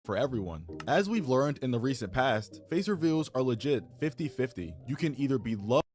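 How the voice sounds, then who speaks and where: A man's voice: medium pitch, big pitch swings, fairly quick, a little mellow. Man reading a scripted commentary. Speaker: YouTube commentary narrator. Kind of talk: scripted video narration.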